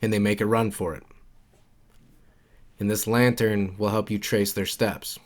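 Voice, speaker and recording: Low-voiced man narrating a game walkthrough. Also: flat delivery, measured pace. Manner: instructional, informal, repeats key points. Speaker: game guide creator and narrator. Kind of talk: casual walkthrough commentary.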